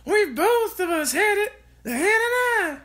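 A boastful old grandmother who cackles and shrieks in a piercing, squeaky register. Her voice sounds a little distant, as if she is calling down from a rooftop. Her pitch leaps around wildly. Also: very animated, a little slow, full character voice. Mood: shrill triumphant. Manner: shrieking, shouting from far off.

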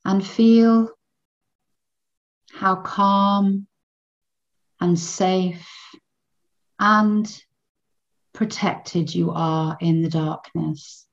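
A woman guiding a children's meditation in a gentle, soothing manner, in measured phrases with long pauses between them. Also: medium pitch, fairly even intonation, highs cut off. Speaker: meditation guide.

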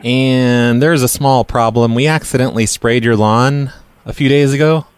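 A man's voice: mid-range voice, fairly even intonation, moderate pace, smooth, no echo, lightly acted. A man playing a blundering, casually unapologetic lawn care worker on a prank call, hesitant but blunt in the way he talks. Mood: nonchalant. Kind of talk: improvised prank phone call.

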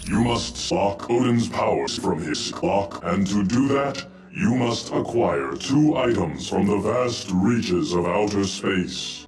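An actor voicing a solemn, towering alien cosmic observer who speaks in a slow, grave, measured way. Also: male voice, lowish voice, lively intonation, a little mellow, faint music behind.

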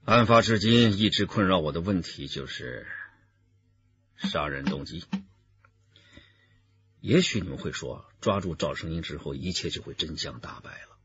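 A man acting in a radio drama as a thoughtful, reserved detective who speaks with deliberate hesitation. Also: low voice, animated, a bit bright, dull audio. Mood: pensive. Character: thoughtful, reserved male detective pondering a case.

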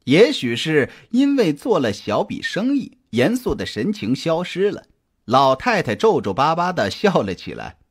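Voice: medium pitch, huge pitch swings, measured pace, husky. An audiobook narrator reading a scripted suspense story. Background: background music.

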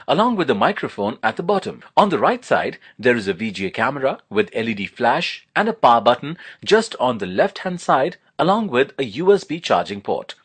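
A man's voice: medium pitch, a bit bright, husky. A man narrating a product unboxing and demo as a reviewer, in an enthusiastic, promotional manner.

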